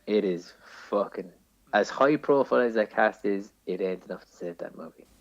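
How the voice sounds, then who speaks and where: A man's voice: fairly low pitch, moderate pace, vocal fry, no echo, highs cut off. An Irish man in casual film-review chat as a podcast co-host, opinionated and sweary.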